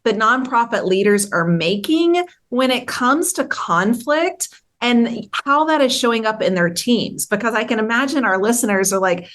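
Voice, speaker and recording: A woman's voice: on the high side, lively intonation, normal speed, a bit bright. A woman hosting an interview podcast, speaking in an upbeat, enthusiastic and effusive way. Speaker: podcast host.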